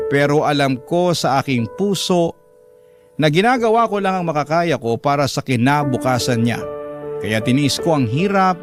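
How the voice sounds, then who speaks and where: A Filipino man narrates a radio drama in the first person. His voice is fairly high for a man, sorrowful and measured, with music clearly behind him.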